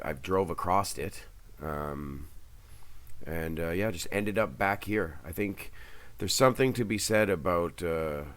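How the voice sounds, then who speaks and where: A man's voice: a bass voice, fairly bright tone. A man in his late thirties, a guest on a remote interview, talking in a rambling, hesitant way with many ums, over a headset. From Canada's Maritime provinces. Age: 30s. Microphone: headset on a call.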